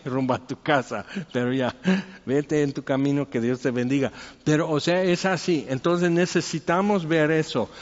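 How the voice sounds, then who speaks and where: A man with a Mexican accent giving an informal, conversational sermon as a pastor, rambling with joking asides. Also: mid-range voice. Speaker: pastor preaching. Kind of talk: informal expository sermon with anecdotes. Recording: echoey hall, dull muffled sound.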